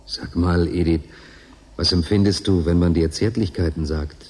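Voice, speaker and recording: A man's voice: low-pitched, steady tone, moderate pace, darkish tone, husky. An actor playing a lonely, teasing male convict in a German radio drama, talking in an intimate, musing way. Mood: tender, wistful. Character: Tonio, lonely male station convict, yearning, teasing, bitter.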